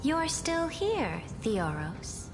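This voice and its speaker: An actress playing an imperious, calculating female emperor in a video game, regal, measured and commanding. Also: high voice, huge pitch swings, exaggerated voice. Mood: cool, knowing. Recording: long hall reverb, music underneath.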